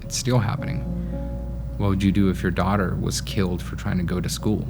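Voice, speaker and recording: A young man from Afghanistan giving a personal account in a documentary interview. He speaks slowly and haltingly, with pauses and restarts. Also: deep voice.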